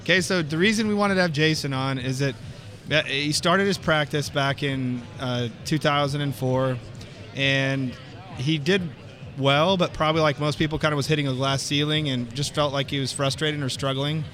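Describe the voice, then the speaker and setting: A man's voice: a little high, moderate pace, a bit bright. A man hosting a podcast interview, talking casually and loosely, with frequent restarts. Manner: friendly, loose, many restarts. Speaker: podcast host.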